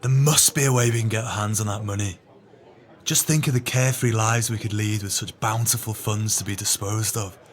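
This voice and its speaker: A man's voice: lowish voice, steady tone, fairly quick, lightly acted. An actor playing a greedy, sly male swindler in an audio drama, with pompous, wordy, formal speech. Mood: bitter, greedy.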